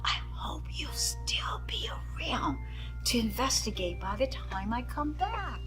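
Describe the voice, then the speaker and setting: A woman's voice: fairly high pitch, sing-song, slow pace, in a whisper, over music. A woman reading a storybook as an eager, bookish schoolboy who is wistful and easily dismayed. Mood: wistful whisper. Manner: exclaiming, wistful.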